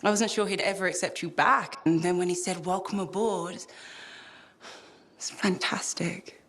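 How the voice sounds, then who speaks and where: An actress playing a thoughtful, self-doubting woman who talks in a rambling, wistful way in a game cutscene. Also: on the low side. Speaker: cypher ally. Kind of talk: video game cutscene acting.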